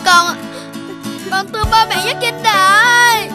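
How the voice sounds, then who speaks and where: Very high-pitched little puppy boy. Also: slow pace. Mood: tearful, loving. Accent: Southern Vietnamese. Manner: whiny, pouting. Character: sulky, emotional little boy Dalmatian puppy. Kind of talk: cartoon dubbing. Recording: music behind the voice.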